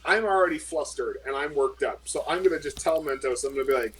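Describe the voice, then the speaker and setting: A man's voice: fairly high pitch, fast, husky, rough recording. A man chatting casually as a player in a tabletop game, rambling with lots of fillers.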